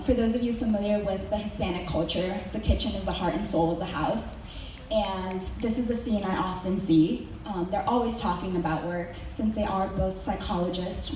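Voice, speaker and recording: A woman's voice: mid-range voice, a little mellow, a little hiss or hum. A young woman from Mexico giving a senior presentation to her school, hesitant with many ums and dry joking asides. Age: young adult. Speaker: student presenter. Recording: very echoey hall recorded from far off.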